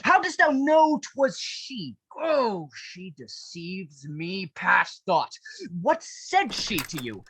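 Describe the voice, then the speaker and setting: A woman's voice: medium pitch, sing-song, slow pace, a bit bright, no echo, exaggerated voice. A woman playing an outraged, grieving noble father in an amateur Shakespeare radio play, declaiming theatrically. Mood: anguished. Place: home, recorded over a video call. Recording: faint raspy video-call recording.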